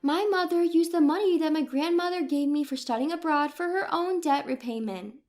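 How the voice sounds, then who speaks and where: A woman's voice: high-pitched, a little fast, darkish tone, faint music behind, voice pushed up, lightly acted. A woman voicing a determined, defiant young adult daughter who speaks in a plain, resolute way in a read story.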